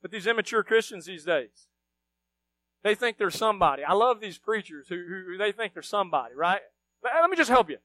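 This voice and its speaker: A middle-aged preacher with a strikingly high voice, swinging from hushed asides to loud, emphatic bursts. He keeps throwing rhetorical questions at the congregation. The sound is close and dry, with no room around it. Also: expressive, brisk, no echo, rough recording. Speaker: pastor. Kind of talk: sermon.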